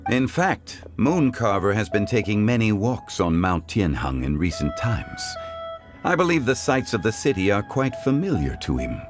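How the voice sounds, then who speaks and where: A man voicing a calm, refined ancient gentleman in a video game, speaking in a formal, erudite, measured manner. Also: fairly low pitch, music in the background. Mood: courteous, composed.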